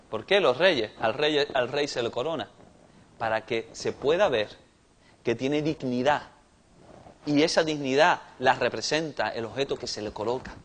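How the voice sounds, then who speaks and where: A man with a Cuban accent preaching a sermon in a conversational way that rises in force on key points. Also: medium pitch. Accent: Cuban Spanish. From Cuba. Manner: conversational preaching, swelling louder on big points.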